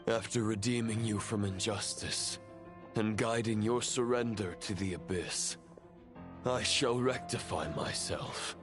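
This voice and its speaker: A man playing a grave phantom of a fallen knight in a video game, speaking in formal, deliberate declamation over music. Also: low-pitched. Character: solemn fallen knight, a phantom cast by the Abyss. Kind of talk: dramatic video game acting. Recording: dramatic music behind the voice.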